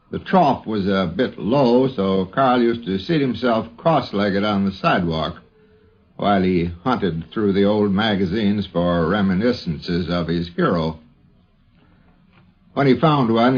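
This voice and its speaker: Slow, low-voiced older man. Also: husky. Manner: deliberate, literary phrasing. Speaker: interviewee recalling an old friend. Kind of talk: measured spoken reminiscence. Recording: dull 1950s radio recording.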